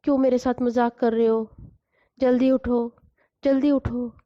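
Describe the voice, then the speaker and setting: A woman's voice: on the high side, flat delivery, unhurried, dry recording, close to an everyday voice. A woman voicing a grief-stricken middle-aged mother who pleads in frantic disbelief, in a slightly dull, lo-fi recording. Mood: wailing pleas.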